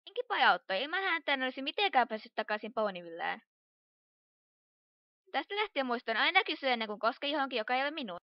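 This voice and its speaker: A woman's voice: high voice, very animated, no room sound. A woman voicing a brash, impulsive young female pony in an amateur cartoon fandub, with a dramatic delivery. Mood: earnest. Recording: dull, lo-fi home recording.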